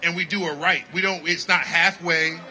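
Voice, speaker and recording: A man from Connecticut, a jazz musician talking in a casual festival interview with animated, preachy energy. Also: a little high, normal speed, low-quality recording. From Connecticut, United States. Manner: animated, preachy, repeats "you know what I mean".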